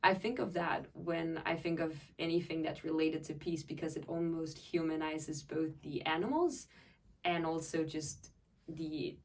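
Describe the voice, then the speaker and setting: A young woman from Denmark with a notably low voice gives reflective answers in an interview. The microphone is some way off, so she sounds a little distant. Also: steady tone, unhurried. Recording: voice picked up from a distance.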